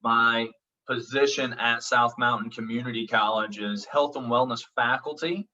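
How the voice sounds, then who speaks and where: A man's voice: medium pitch, steady tone, normal speed, sharp tone. A man in his thirties with an Oklahoma accent, a college instructor introducing his online course in a reassuring, casual way, full of ums and "okay?" check-ins. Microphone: video call. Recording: dull lo-fi audio from a distance.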